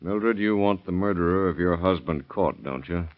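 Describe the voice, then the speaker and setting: An actor in a 1930s radio crime drama playing a shrewd, no-nonsense American police detective, brisk and probing. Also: male voice, deep voice, lightly acted. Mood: stern, pressing. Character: shrewd, businesslike male police detective pressing suspects. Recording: muffled vintage 1930s radio recording.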